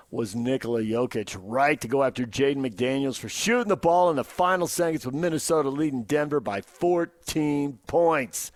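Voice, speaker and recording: A man's voice: a little high, dry recording. Dry, close-miked American sports radio man. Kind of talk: casual sports talk. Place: radio studio. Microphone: close studio microphone.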